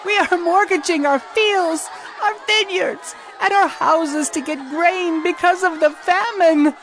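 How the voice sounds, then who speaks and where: A famine-stricken villager in an audio Bible drama. She wails her complaint slowly at an extremely high pitch, over faint background noise. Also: fairly bright tone, heavily acted. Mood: distressed complaint. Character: desperate famine-stricken woman protesting lost land.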